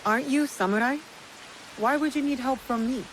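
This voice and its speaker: A woman's voice: sits high, moderate pace, a little hiss or hum, natural voice, rough recording. A woman playing a composed, earnest female mercenary in a feudal Japan game, reserved and plain-spoken. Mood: earnest, questioning.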